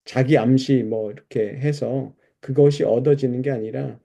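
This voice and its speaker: A man's voice: medium pitch, steady tone, normal speed, gravelly, mellow. A man preaching in a conversational, explanatory way, walking listeners through scripture and putting questions to them. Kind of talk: expository Bible sermon, conversational teaching.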